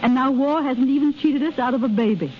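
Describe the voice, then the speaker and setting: A woman's voice: sits high, a little fast, close to an everyday voice. An actress playing a tender, resolute young expectant mother in an earnest, emotional 1930s radio drama. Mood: earnest, pleading. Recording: dull old 1930s radio recording.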